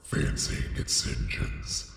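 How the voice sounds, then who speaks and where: A man reading a horror story as a scripted, dramatic narration, with a solemn and deliberate theatrical delivery. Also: a bit bright. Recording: echoey, long reverb on the voice.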